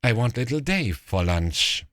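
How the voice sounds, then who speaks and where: A man's voice: mid-range voice, sing-song, a little slow, a bit bright, dry recording, clean studio sound, pitched above the performer's own voice. Storybook ogress queen, old, ravenous and bossy, with swooping intonation, performed by a male reader. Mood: greedy satisfaction. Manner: urgent, commanding. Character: hungry, imperious old ogress queen mother.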